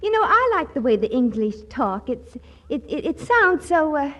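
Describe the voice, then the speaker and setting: A woman's voice: sits high, big pitch swings, moderate pace, fairly bright tone. A woman playing a sweet, guileless young American waitress in 1940s radio comedy, artless, hesitant and literal-minded. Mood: artless, friendly. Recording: noisy, clicking vintage 1940s radio recording.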